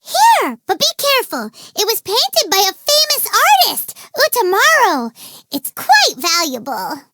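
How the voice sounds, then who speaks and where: Super squeaky little fairy sidekick, bubbly and naive, swoops all over, game dialogue. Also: female voice, huge pitch swings, unhurried, sharp tone, dry recording, heavily acted. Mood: chatty. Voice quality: squeaky, childlike voice. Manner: bubbly, speaks of self in third person. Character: tiny excitable childlike fairy companion, naive, easily indignant. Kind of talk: video game character dialogue.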